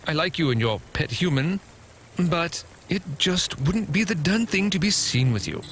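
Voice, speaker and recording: An actor playing a naive, boastful android with a pompous, snobbish manner in a video game. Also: male voice, a little high, expressive, moderate pace, a bit bright, a little hiss or hum. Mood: eager, condescending. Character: naive, boastful android head dreaming of wealth.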